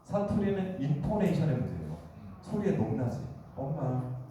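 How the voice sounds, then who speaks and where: A man's voice: on the high side, very animated, moderate pace, dark tone, slight background noise. Man, lecturer, conversational, quizzes the audience, echoey hall, distant mic. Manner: conversational, asks the audience questions. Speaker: guest lecturer. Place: meeting hall.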